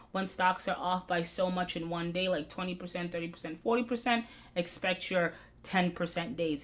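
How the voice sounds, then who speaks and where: A middle-aged woman hosting a stock market video, recapping charts in a brisk analytical way with frequent fillers, her voice slightly hoarse. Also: mid-range voice.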